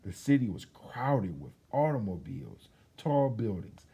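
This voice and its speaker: A man reading a children's storybook aloud as a storyteller, in an expressive, chatty way with casual asides. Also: fairly low pitch, unhurried, breathy edge, dull audio.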